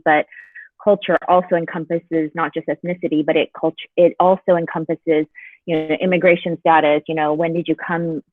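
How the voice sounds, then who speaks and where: A man's voice: on the high side, no room sound. A man from California speaking on a video call forum, thinking aloud with many fillers and restarts. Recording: tinny phone-like call audio.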